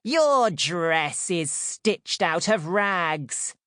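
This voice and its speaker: A woman voicing shrill, cruel young stepsisters in a fairy tale, with a screechy, mocking delivery. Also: animated, extremely slow, fairly bright tone, no echo, studio quality, exaggerated voice. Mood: scornful. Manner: mocking, cackling.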